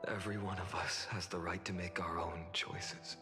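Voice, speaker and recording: A man playing a calm, solemn, self-sacrificing young warrior leader in a video game, speaking in a measured, soft way. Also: deep voice, in a large hall, music in the background, natural voice. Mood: solemn resolve. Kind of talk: scripted dramatic video game acting.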